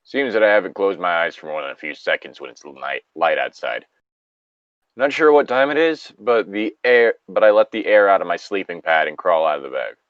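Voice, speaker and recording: Low-voiced man, lively casual story reading. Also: brisk, no room sound, lo-fi sound. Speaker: co-host reading an online story aloud.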